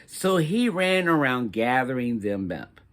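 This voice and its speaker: A man's voice: medium pitch, big pitch swings, unhurried, a bit bright. A man reading a children's picture book aloud as narrator. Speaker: storybook reader.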